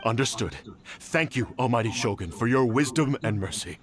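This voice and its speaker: A man's voice: medium pitch, expressive, moderate pace, a crowd in the background, close to an everyday voice. A man voicing a deferential, apologetic clan retainer in a video game, with a humble and formal way of speaking. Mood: humble, submissive.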